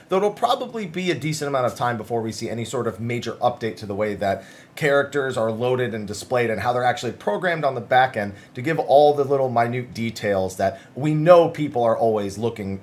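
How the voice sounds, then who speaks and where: A man's voice: mid-range voice, slight background noise. A man hosting a gaming news video, talking through written interviews in a chatty, conversational way with casual asides.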